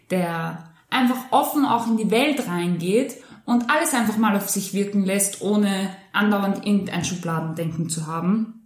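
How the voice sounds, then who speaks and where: A woman's voice: medium pitch, echoey room. A woman, co-hosting a podcast, talking in long rambling sentences. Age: 20s. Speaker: podcast co-host. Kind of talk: casual chat.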